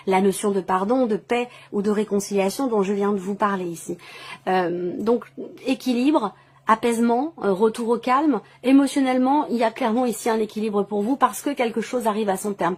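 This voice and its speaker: A woman giving an improvised tarot reading, explaining as she goes and often rephrasing while she searches for words. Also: on the high side. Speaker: tarot reader, channel host. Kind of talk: tarot reading to camera, spoken off the cuff.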